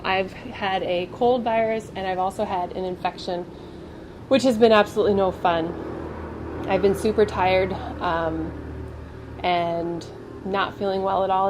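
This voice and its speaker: A woman's voice: mid-range voice, background noise. A woman hosting a family travel vlog, talking casually with a stuffed-up nose from a cold. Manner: chatty, candid, many fillers. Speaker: vlog host.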